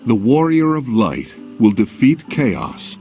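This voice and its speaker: An actor playing an earnest, resolute warrior in a video game, speaking in a solemn, measured way. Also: male voice, mid-range voice, very animated, darkish tone, soft background music. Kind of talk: dramatic video game voice acting.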